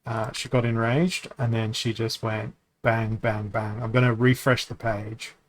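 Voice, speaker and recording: A man streaming a card game, thinking aloud as he plays, often correcting himself and pausing to hesitate. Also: lowish voice, flat delivery. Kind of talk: casual commentary while playing a card game.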